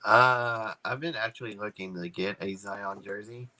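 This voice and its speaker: Male podcast co-host with a lowish, very bright, sharp voice and hesitant, casual talk. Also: normal speed, low-quality recording. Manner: hesitant, filler-heavy. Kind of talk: casual sports chat.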